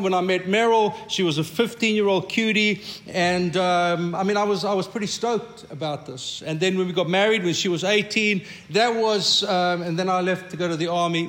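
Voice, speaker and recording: Older South African man, high voice. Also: a bit bright. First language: Afrikaans. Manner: reflective, repeats words when starting a thought. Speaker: pastor. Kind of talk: conversational sermon.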